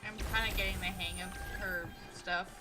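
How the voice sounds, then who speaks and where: A woman's voice: lowish voice, fairly even intonation, normal speed. A woman live-streaming a video game, making casual, playful commentary in short offhand reactions. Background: game music.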